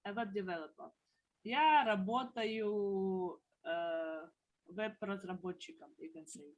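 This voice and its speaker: Slow, patient woman teaching a class. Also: medium pitch, lo-fi sound. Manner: patient, explains words one by one. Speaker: language teacher.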